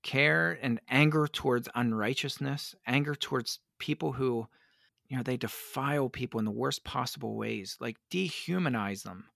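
A man, a pastor, answering interview questions in an earnest, reflective way, his voice worn hoarse by allergies. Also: medium pitch, moderate pace, fairly bright tone, no room sound, studio quality. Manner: earnest, reflective, many restarts. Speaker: pastor and advocate.

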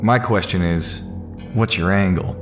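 A man's voice: sits low, measured pace, music in the background. A man playing a composed, firm millionaire businessman in an audio drama, understated and matter-of-fact. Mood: firm, challenging.

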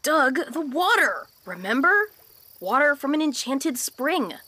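Lady doing a cheeky little sprout kid, high voice, really bouncy and blunt, fantasy podcast comedy. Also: big pitch swings, a little slow, pushed and bright, full character voice. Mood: frank. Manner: blunt, exasperated, mocking. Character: small newborn magical sprout boy, blunt and cheeky. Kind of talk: fantasy comedy audio drama acting.

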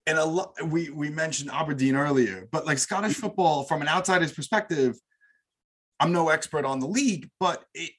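A man's voice: a little high, moderate pace, fairly bright tone, slightly rough. A middle-aged man hosting a podcast, chatting casually and eagerly about football. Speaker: podcast host.

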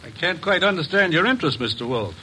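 A man's voice: on the high side, big pitch swings, fast, over loud music. An actor playing a polished, guarded importer businessman in a 1950s radio drama.

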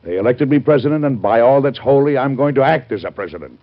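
A man's voice: mid-range voice, lively intonation, quick pace, natural voice. An American man acting an earnest, formal, beleaguered but resolute president in an old-time radio drama. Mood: defiant. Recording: muffled old radio show recording.

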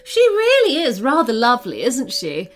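A woman voicing a serious, regal red panda queen for a children's storybook, measured and imperious but curious and soft-hearted. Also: on the high side, huge pitch swings, soft background music. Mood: charmed, eager.

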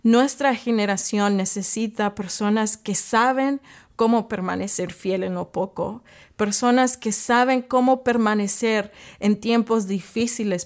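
Female podcast host, English as first language. Earnest devotional encourager, slow delivery with searching pauses and repeated key phrases. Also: on the high side.

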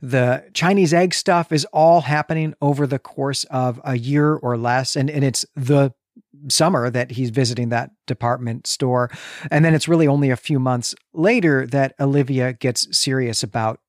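A man's voice: mid-range voice, moderate pace, dry recording. Mid-voiced man debating on a dry-sounding podcast. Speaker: podcast co-host. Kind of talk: conversational literary discussion.

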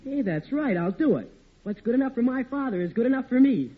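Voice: medium pitch, expressive, fairly quick, lightly acted. A performer playing a hesitant, good-natured American boy, the younger brother in a 1940s radio sitcom. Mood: reluctant, then agreeable. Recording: noisy, tinny old radio recording.

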